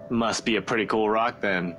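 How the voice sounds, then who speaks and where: A man's voice: mid-range voice, close to an everyday voice. A man playing a cocky, defensive young man in a visual novel game, speaking in a wry, casual way. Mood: cocky, joking. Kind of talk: voice-acted visual novel dialogue. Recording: clear background noise.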